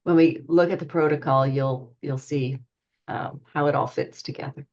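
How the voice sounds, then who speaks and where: A woman co-organizer cutting in briskly and helpfully during an online meeting, with hesitant fillers. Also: extremely low pitch, fairly even intonation, darkish tone, highs cut off. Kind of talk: brief meeting interjection.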